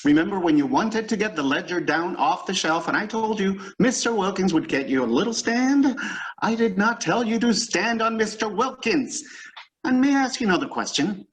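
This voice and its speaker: An actor plays a put-upon male office boss in old-time radio style. His high-pitched voice is fussy, sarcastic and dry.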